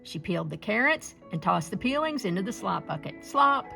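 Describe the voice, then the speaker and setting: A woman storyteller reading a picture-book folktale aloud in an expressive way. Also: mid-range voice, a little fast, gravelly, soft background music.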